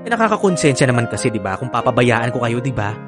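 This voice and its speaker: Sassy, animated Filipino co-parent played by an actress. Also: female voice, very deep voice, fast, over music. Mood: matter-of-fact, caring. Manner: sassy, scolding, dismissive. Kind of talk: Filipino audio drama acting.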